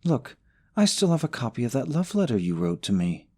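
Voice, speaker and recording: A man's voice: mid-range voice, sing-song, fairly quick, a little mellow, slightly rough. A young man acting in an audio roleplay as a scheming, possessive boyfriend, falsely sweet and coaxing, snide about his rival. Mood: scheming, pleading.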